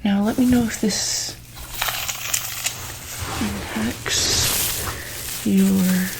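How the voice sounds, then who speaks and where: Slow, creaky soft-spoken ASMR woman. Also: mid-range voice, fairly even intonation. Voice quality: soft, breathy, often near whisper. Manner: hesitant, gentle, pausing often mid-sentence. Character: calm, attentive female medic examining a monster patient. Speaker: ASMR performer playing a monster hospital medic. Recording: noticeable background noise.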